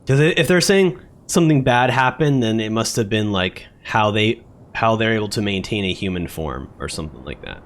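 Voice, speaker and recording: A man's voice: fairly low pitch, expressive, normal speed, hardly any pauses. A man giving casual live commentary as a game streamer, thinking aloud in a hesitant, repetitive way. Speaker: streamer reacting to a game story. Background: game music.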